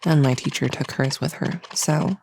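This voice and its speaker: A woman playing a friendly, slightly bumbling novice witch in a soft-spoken ASMR roleplay, breathy and often whispering, with a hesitant, casual way of talking. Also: low-pitched, moderate pace, slight background noise. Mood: musing, casual. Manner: hesitant, casual, self-correcting.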